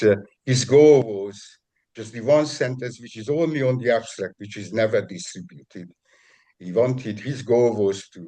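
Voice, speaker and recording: Halting low-voiced man on a call. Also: a little mellow. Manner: halting, many restarts and repeated words. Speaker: guest describing his work. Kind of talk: casual video call conversation.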